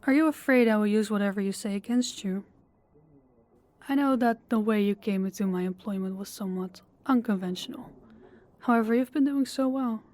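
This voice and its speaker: A woman acts out a demanding female boss for an audio roleplay. She talks in a formal, measured way at a medium pitch, with a sweet condescension that carries veiled menace. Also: a little mellow, natural voice. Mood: coolly reassuring. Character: poised, controlling female boss with veiled menace, affectionate toward employee.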